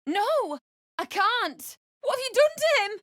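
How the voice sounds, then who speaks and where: An actress voicing a frightened, grieving young wife in a fantasy video game, pleading and tearful, breaking into anguished cries. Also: female voice, very high voice, very animated, a little slow, fairly bright tone, dry recording, studio quality, full character voice. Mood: strained.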